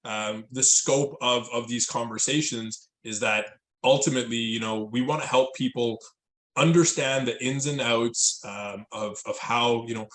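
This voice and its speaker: A man co-hosting over a video call, talking in a roundabout way and restarting sentences often. His voice sits at an ordinary pitch. The call audio has a roomy echo. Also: fairly even intonation, a little slow, fairly bright tone. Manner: rambling with many restarts and "you know". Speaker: podcast co-host, financial advisor. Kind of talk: casual chat on a call.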